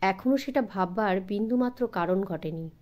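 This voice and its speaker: A woman's voice: medium pitch, normal speed, darkish tone, voice pushed down, natural voice. A woman voicing a cool-headed, sceptical male detective in a storybook, speaking in a matter-of-fact, reasoning way. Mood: dismissive, reasoning.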